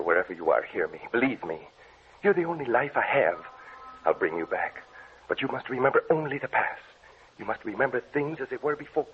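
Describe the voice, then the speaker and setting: An actor playing a brooding, obsessed young scientist in an old radio melodrama, speaking in a halting, intense way. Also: male voice, mid-range voice, close to an everyday voice. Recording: tinny, hissy old radio recording.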